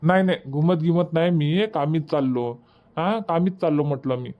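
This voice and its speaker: A man's voice: a little high, moderate pace, narrow, tinny audio, lightly acted. A man voicing an easygoing, stubborn village husband in a cartoon comedy, chatty and colloquial, with a rural Varhadi Marathi accent. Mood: casual, reassuring. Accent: rural Varhadi Marathi from Vidarbha. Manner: casual, colloquial, explaining at length.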